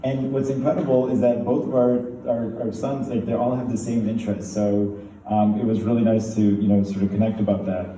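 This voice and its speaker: A man's voice: lowish voice, level tone, fairly quick. A man from Turkey, a pulmonologist, giving a casual tribute speech full of fillers and restarts. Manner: rambling, many fillers and restarts, laughing warmly. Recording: distant echoey room, faint noise.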